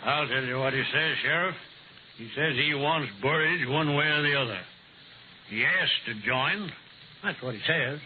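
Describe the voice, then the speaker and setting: An actor playing a shrewd old frontier rancher in a radio western, folksy, dry and unhurried. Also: male voice, a little high, narrow, tinny audio, natural voice. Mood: blunt. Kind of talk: 1950s radio western acting. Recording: noisy, thin vintage radio recording.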